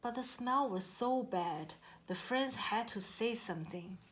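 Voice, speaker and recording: A woman narrating a children's storybook aloud in an expressive, deliberate read-aloud style. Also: on the high side. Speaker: story reader.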